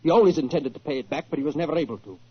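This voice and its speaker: An actor playing a flustered, guilty young family lawyer with a husky voice and defensive manner in a 1940s radio drama. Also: male voice, a little high. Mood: confessing. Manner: hurried, defensive. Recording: dull old 1940s radio recording.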